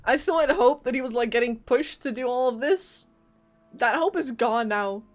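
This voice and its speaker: Female game streamer: higher-pitched, casual, reactive commentary in short bursts, soft music behind. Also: expressive, moderate pace. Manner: reactive, blurts short exclamations.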